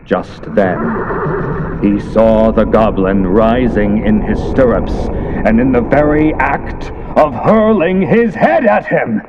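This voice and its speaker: Male narrator, low register, classic radio horror style, theatrical and suspenseful, dull audio with loud effects behind. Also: animated, slow, background noise. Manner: theatrical, suspenseful, emphatic storytelling. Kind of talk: dramatic scripted narration in the manner of classic radio horror drama. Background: loud sound effects of ambience and horse hooves.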